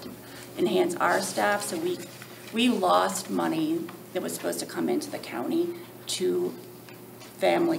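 An older woman speaking haltingly and informally as she makes a request at a public hearing. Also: lowish voice. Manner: halting, hesitant. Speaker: organization representative making a budget request. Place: indoor public meeting room. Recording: roomy echo, clear background room noise.

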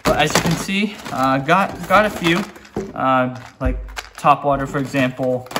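A teenage boy hosting a fishing channel, showing off his gear in a relaxed, chatty way with plenty of fillers, filmed by a table camera in a small indoor gear room. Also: mid-range voice, measured pace. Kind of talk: casual show-and-tell vlog. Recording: echoey room with noise.

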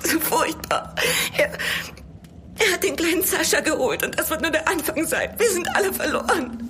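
An actress in a German horror radio play as a fearful young mountain woman, hushed, sorrowful and resigned. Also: extremely high pitch, expressive, normal speed, soft background music. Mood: frightened, sorrowful.

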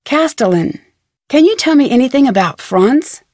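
A curious, friendly young woman detective from a video game. Her American voice sits fairly high, with a chipper, inquisitive delivery. The sound is very dry, as in scripted game dialogue. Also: lively intonation, normal speed, a little mellow, no room sound. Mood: curious, polite. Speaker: video game heroine and tutorial guide.

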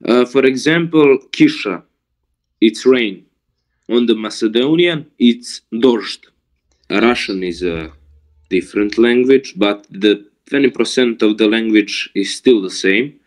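Ein junger Mann, der gemütlich und zögernd in einem Videoanruf erzählt. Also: male voice, lowish voice, measured pace. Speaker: video chat stranger. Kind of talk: casual chat.

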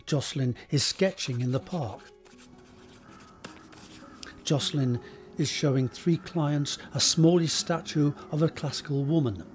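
A man's voice: fairly high pitch, measured pace, pauses often, faint music behind. A man narrating stage directions for an audio drama in a scripted reading style.

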